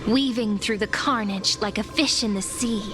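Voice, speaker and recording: A woman playing a young storyteller and guide in a game, knowing, measured and theatrical. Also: mid-range voice, background noise, natural voice. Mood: solemn narration. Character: storyteller companion, young female, teasing, knowing, gentle guide. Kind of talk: scripted storytelling, game voice acting.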